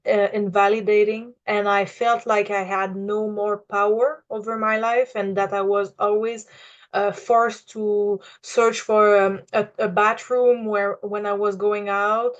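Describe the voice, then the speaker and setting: A young woman in her twenties speaks English with a Quebec French accent, her native tongue showing as she searches for words. She talks in a flat, even tone, full of "uh" and "um" and small restarts. The audio is a little dull and lo-fi. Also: mid-range voice. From Quebec, Canada. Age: 20s. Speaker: client giving a testimonial. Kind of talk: unscripted personal testimonial.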